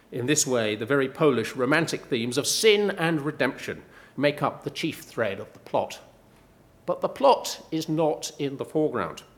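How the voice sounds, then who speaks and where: A middle-aged male professor giving a prepared, measured talk with dry, wry asides. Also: mid-range voice. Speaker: professor giving a book pitch.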